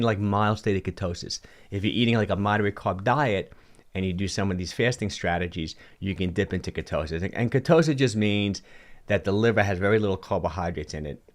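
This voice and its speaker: Rich: A man in his sixties with a low, deep voice, chatting on a livestream from a home studio into a close studio mic. He is animated and gestures as he talks, often restarting and repeating words while answering viewer questions. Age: 60s. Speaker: fitness coach hosting a livestream. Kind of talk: casual livestream talk, reading viewer comments. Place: indoor home studio with colored lights. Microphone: large studio mic on a boom in front of him.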